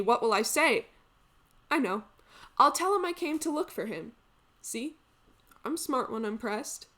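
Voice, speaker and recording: A woman's voice: a little high, a bit bright. A woman playing a sassy, exasperated lady's maid in a stage farce, complaining wryly as if to an audience. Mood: cheeky, bold. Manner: wry, complaining, addressing the audience. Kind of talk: theatrical comic monologue.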